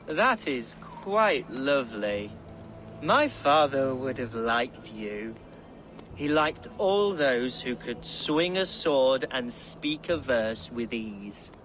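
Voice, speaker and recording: A man's voice: medium pitch, big pitch swings, takes it slowly, fairly bright tone, a little hiss or hum. An actor playing an earnest, tipsy young Saxon nobleman, eager and wordy in a dramatic video game style. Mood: wistful, fond. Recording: music behind the voice.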